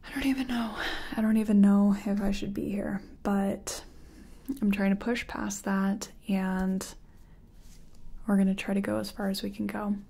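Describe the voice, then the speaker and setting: A woman doing a soft-spoken ASMR roleplay as a makeup artist, whispery and breathy, murmuring hesitantly as if half to herself. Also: medium pitch, little pitch movement, moderate pace.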